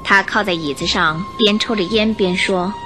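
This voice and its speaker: A woman's voice: mid-range voice, normal speed. A woman giving scripted, storytelling narration for a radio drama. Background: background music.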